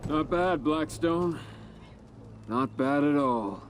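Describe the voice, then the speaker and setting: An actor playing a bold, beleaguered army commander in a video game, projecting forcefully. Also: male voice, a little high, animated, slow pace, background noise. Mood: wry approval. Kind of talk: scripted game dialogue.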